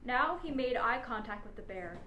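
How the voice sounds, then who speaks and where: A woman's voice: on the high side, animated, moderate pace, sharp tone. A woman reading out a humorous adventure story as its narrator, in scripted prose. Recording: echoey space with faint background noise.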